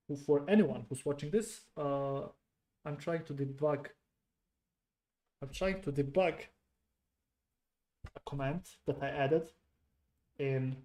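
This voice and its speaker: A man thinking aloud while debugging code on a stream, halting and muttering to himself, often trailing off. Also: a little high, vocal fry, darkish tone. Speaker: programming streamer.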